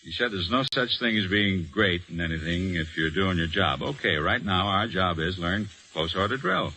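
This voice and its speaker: Forceful, earnest young Army corporal. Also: male voice, low voice, expressive, moderate pace. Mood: earnest, insistent. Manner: plain-spoken, earnest, folksy. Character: earnest, determined young Army corporal telling his story. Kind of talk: old-time radio drama acting. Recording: noisy old radio broadcast recording.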